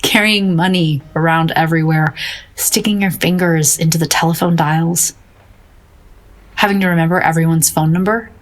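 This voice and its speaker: An actress playing a young painter in an audio drama, infatuated and defiant, with a husky voice and an earnest, gushing way of talking. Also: female voice, sits low, steady tone, moderate pace, lightly acted, poor audio. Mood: dreamy, adoring. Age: young adult. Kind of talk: naturalistic audio drama acting.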